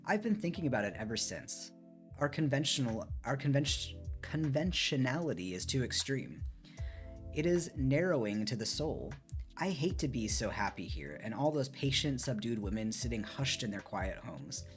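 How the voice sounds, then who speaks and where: A man reading as an earnest, animated young Japanese woman. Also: medium pitch, moderate pace, soft background music, close to an everyday voice. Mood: excited, earnest. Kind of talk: dramatic storybook read-aloud.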